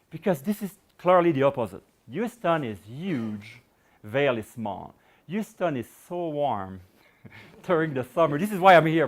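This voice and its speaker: A middle-aged man giving a public science lecture and taking questions, talking in a loose, rambling way with many fillers, his voice going hoarse. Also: medium pitch. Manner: rambling, many "you know" fillers and restarts.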